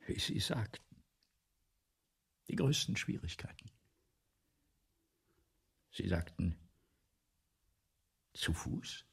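An actor playing an ailing, embittered, self-dramatizing playwright in a German radio play, speaking theatrically in rhetorical repetitions, with a husky, gravelly voice that drops to whispers. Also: male voice, low voice, sing-song, very slow, long pauses, heavily acted. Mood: bitter. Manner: theatrical, rhetorical, building repetitions then sudden hushes.

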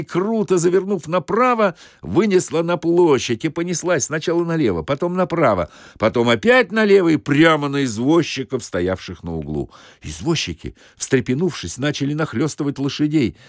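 A man's voice: mid-range voice, dry recording, studio quality. A man reading a humorous short story as a scripted audiobook narration.

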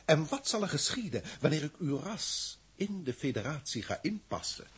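An actor in a 1960s science-fiction radio drama playing a formal, grandiloquent alien proctor who speaks deliberately and pompously. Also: male voice, a little high, big pitch swings, a bit bright, a little hiss or hum. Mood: pleased, grand. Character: authoritative, grandiloquent alien proctor from a galactic federation.

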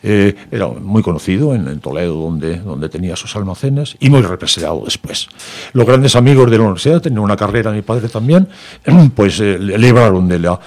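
Hesitant older man, low voice. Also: lively intonation, fairly quick, darkish tone. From Madrid, Spain. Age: 70s. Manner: hesitant, many fillers and repeated words. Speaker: interviewee. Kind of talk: conversational testimony.

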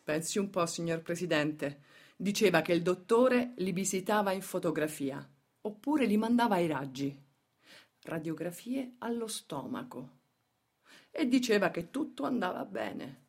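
An actress playing a worker's bitter, plain-spoken daughter giving grave testimony in a radio theatre monologue. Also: female voice, lowish voice, lively intonation, measured pace. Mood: restrained bitterness.

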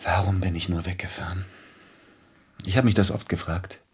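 A very low, husky male voice for a radio play: a widowed concert pianist haunted by guilt. He speaks formally and haltingly, pressing insistently for answers. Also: fairly even intonation, exaggerated voice. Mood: rueful, bitter. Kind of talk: German radio drama acting.

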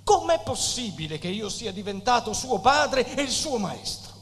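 A man's voice: sits high, big pitch swings, normal speed, fairly bright tone, exaggerated voice. Humble fatherly poet, echoing hall. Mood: earnest, humble. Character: humble, affectionate male country poet and fatherly mentor. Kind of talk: theatrical literary stage acting. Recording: reverberant hall with background noise.

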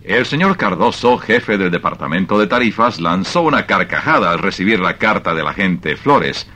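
A man's voice: sits low, animated, normal speed, sharp tone. A man narrating a scripted radio drama as its storyteller.

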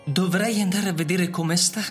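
An actor voicing an ancient, loyal robot butler in a radio drama, formal, deferential and wistful, speaking in a measured way. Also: mid-range voice, steady tone, faint music behind, natural voice. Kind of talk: Italian radio theatre acting.

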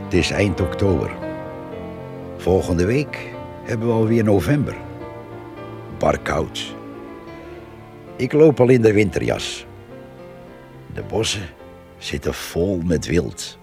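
A deep-voiced, husky village narrator in a radio play. He tells local gossip with wry, wistful feeling in short phrases, his creaky voice moving widely in pitch over faint music. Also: big pitch swings, slow, long pauses, exaggerated voice. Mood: wry, gossipy. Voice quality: husky, gravelly.

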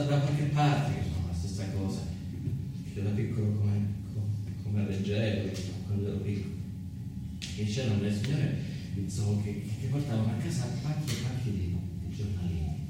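Give this radio play a confined southern Italian man with frayed nerves, acted by a man, reminiscing like a child. He stammers and repeats himself as he confides. The voice is fairly low and sits away from the microphone in a very echoey space, under loud noise. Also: close to an everyday voice. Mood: wistful. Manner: halting, stammering repetitions, confiding. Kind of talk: radio theatre monologue. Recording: roomy, echoing, distant and noisy recording.

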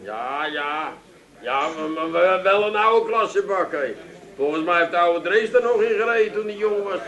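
Radio comedy: hard-up Amsterdam brother-in-law, sharp, highish voice, complaining and theatrically sorry for himself. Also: male voice, animated, moderate pace, lo-fi sound. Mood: hesitant. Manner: complaining, theatrically self-pitying.

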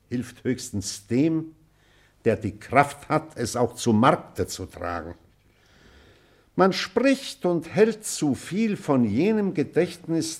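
Elderly male schoolmaster, radio play, pedantic, commanding, wistful, unhurried delivery, widely swinging intonation. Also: mid-range voice, darkish tone, husky, heavily acted. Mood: lecturing, reflective. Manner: pedantic, deliberate, declamatory. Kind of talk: German radio play acting, lecturing.

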